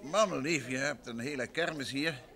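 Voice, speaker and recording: An actor playing a composed, inquisitive detective in a Dutch radio play, politely probing. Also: male voice, mid-range voice, moderate pace, sharp tone, some chatter behind. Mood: probing, friendly.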